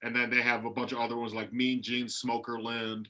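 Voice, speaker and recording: A man's voice: medium pitch, flat delivery, a little fast, sharp tone. A man from Ohio, a comedian guest on a podcast video call, talking in a laid-back, rambling way. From Ohio, USA. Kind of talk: casual podcast chat.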